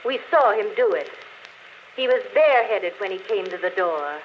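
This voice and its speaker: An actress playing a dead woman who confesses a murder on a phonograph record, speaking slowly in 1940s radio style. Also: mid-range voice, natural voice. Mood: coolly confessing. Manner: calm, deliberate confession, later frightened. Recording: dull old radio broadcast with faint hiss.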